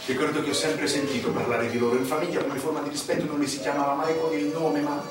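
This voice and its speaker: An actor playing an arrogant, unrepentant Sicilian mafioso, delivering a solemn, declamatory stage monologue with dramatic pauses. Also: male voice, a little high, level tone, natural voice. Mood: brooding, reminiscent. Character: proud unrepentant Sicilian male mafioso confessing, arrogant, self-righteous. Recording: echoey distant stage recording with music. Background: music.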